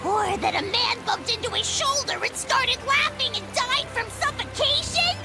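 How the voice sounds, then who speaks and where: A woman's voice: extremely high pitch, normal speed. A woman voicing a clingy, earnest, childlike little servant creature in a video game, gushing and theatrical. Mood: pleading. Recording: game music under the voice.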